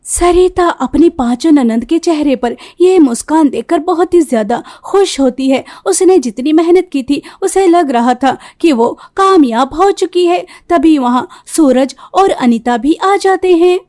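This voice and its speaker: A woman's voice: high voice, lively intonation, unhurried, hardly any pauses, dark tone. A woman reading scripted narration for a cartoon moral story. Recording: music behind the voice. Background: background music.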